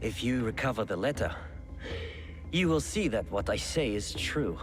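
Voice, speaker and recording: A man playing an ailing, dutiful clan lord in a video game drama, speaking in a measured, formal way broken by sighs and coughs. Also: fairly low pitch, animated, natural voice. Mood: weary, resolute. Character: ailing clan lord, sorrowful but dutiful older brother.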